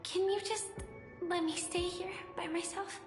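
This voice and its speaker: A gentle, wistful young woman from a video game speaks softly and shyly in a high voice. The sound swims in hall reverb, with music clearly playing behind her. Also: steady tone, a little slow. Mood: hesitant, apologetic.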